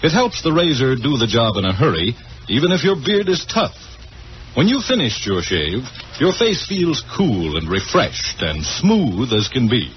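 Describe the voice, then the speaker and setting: A man delivering a scripted 1940s-style radio commercial as a pitchman. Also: very animated, a little slow, a little hiss or hum. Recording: dull old radio transcription recording.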